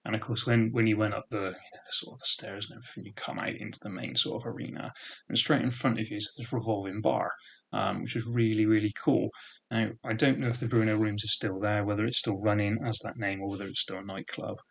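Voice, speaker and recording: A man's voice: fairly low pitch, level tone, fast talker, gravelly, fairly bright tone, husky. A man in his thirties with a thick regional British accent, casually reminiscing in a rambling way. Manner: rambling, full of "sort of" and "um" fillers. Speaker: vlogger.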